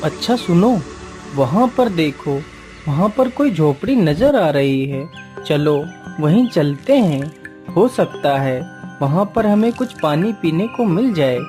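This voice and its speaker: A woman's voice: fairly low pitch, lively intonation, slow pace, mellow, faint music behind. A woman voicing an earnest, pleading young prince in a cartoon tale. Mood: gentle.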